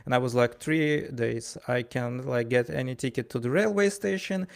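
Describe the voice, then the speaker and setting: A man with a creaky, gravelly voice and a Ukrainian accent. He talks casually, like a storyteller, stumbling and repeating words as he goes and voicing bits of dialogue. His pitch sits in an ordinary middle range. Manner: halting, stammering over words, animated storyteller acting out dialogue. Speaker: wrestling ring announcer and commentator. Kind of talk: casual spoken anecdote.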